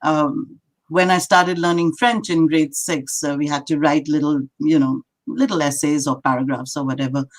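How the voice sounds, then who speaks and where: An older woman from India with an Indian accent, a native Marathi and English speaker, telling stories as an interviewed poet in a thoughtful, halting, lighthearted way. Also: sits low, steady tone. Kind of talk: reflective conversational storytelling.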